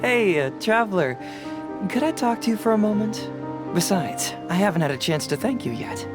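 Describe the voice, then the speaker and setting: A woman's voice: medium pitch, lively intonation, moderate pace, close to an everyday voice. A woman voicing a thoughtful, easygoing young detective in a video game, with a sincere, reflective delivery. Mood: friendly, sincere. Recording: music behind the voice.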